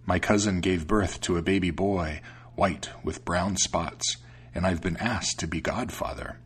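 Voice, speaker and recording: Guy doing a sly greedy tomcat from a storybook, low n husky, slow dry drawl. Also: full character voice. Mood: wheedling. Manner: slow, dry, drawn-out delivery. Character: sly, greedy, smooth-talking male cat, dryly deceitful. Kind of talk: storybook character voice.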